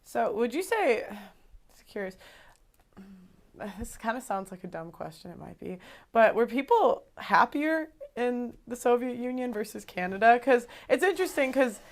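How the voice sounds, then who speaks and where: A woman's voice: fairly high pitch, big pitch swings, measured pace. A woman hosting a casual interview, asking curious questions and murmuring agreement. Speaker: podcast host.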